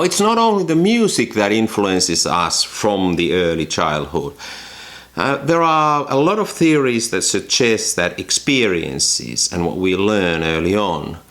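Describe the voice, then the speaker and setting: A man from Finland with a Finnish accent, hosting a photography channel and reflecting thoughtfully to camera from a room at home, often pausing with ums and restarts. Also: sits low, fairly bright tone.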